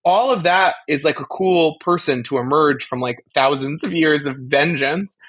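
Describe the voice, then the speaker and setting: A man's voice: on the high side, normal speed, fairly bright tone. Opinionated man chatting as podcast co-host. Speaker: podcast co-host discussing a TV franchise. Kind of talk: casual fan discussion.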